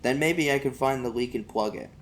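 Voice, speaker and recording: A man's voice: medium pitch, a little fast. A man reading an audiobook as an amateur, narrating in the first person in a folksy vernacular.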